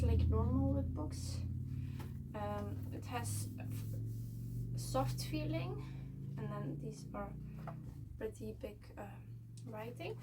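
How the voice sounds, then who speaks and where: A young Belgian woman, a native Dutch speaker, talks slowly and haltingly about books, filling gaps with "um" and "like". Her voice sits a little high. The mic is a bit far off, with faint room noise behind. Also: warm tone. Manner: halting, many fillers and restarts. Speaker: book vlogger. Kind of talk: casual book unhaul chat. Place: at home, seated.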